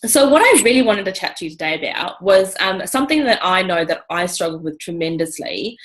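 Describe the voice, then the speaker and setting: A woman's voice: lowish voice, big pitch swings, fairly quick. A woman hosting a casual video interview, enthusiastic and chatty, often dropping in "you know". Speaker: interview host.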